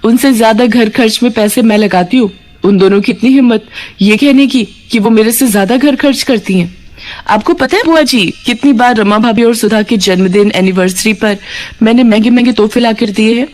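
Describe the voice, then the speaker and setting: A woman's voice: a little high, brisk, a little mellow, natural voice. A woman voicing a proud young working daughter-in-law who argues indignantly in a cartoon family drama. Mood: offended. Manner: indignant, childishly argumentative.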